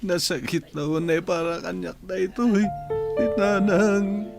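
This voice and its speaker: An actor playing an anguished grown son and husband in a radio drama, melodramatic and pleading, with an Ilocano accent. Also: male voice, sits high, steady tone, a little slow, gravelly. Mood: grieving. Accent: Ilocano, northern Philippines. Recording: music under the voice.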